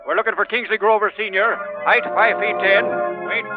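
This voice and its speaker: A man playing a brisk police dispatcher with loud, clipped announcing, in a 1940s radio drama. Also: sits high, steady tone, lightly acted. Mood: brisk, urgent. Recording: tinny old radio, loud music behind. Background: loud music.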